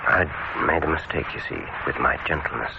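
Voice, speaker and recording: An actor playing a vengeful, softly menacing male dentist in a radio horror drama, savouring his cruelty in deliberate, gloating phrases with long pauses. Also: a bass voice, gravelly. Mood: gloating, intimate. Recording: muffled, very noisy old radio recording.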